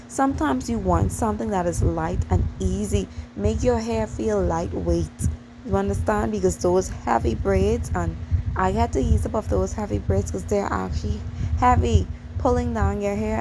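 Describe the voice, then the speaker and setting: Gravelly Bahamian woman chatting. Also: medium pitch, noisy background. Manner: chatty, repeats herself, addresses viewers as you guys. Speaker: channel host. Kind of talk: hair tutorial voice-over.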